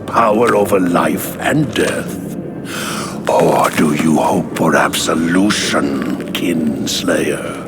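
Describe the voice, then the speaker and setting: An actor playing an ancient, imperious king of the dead in a video game, haughty, bitter and menacing. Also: extremely low pitch. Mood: commanding. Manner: slow, haughty, commanding. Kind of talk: dramatic video game acting. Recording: loud background music.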